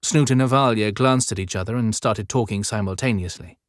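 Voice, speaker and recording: A man's voice: on the low side, brisk, no echo, studio quality. A man narrating scripted fiction as an audiobook storyteller.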